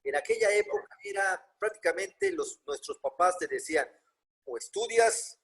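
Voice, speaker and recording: Lively older man interviewing. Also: sits high, moderate pace, husky. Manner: warm, chatty, theatrical questions. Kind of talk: casual interview.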